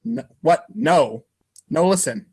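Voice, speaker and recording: A man's voice: on the high side, animated, takes it slowly, darkish tone, husky, heavily acted. A man reading the part of an exasperated, sarcastic, foul-mouthed teenage boy in a comic script reading, ranting wordily.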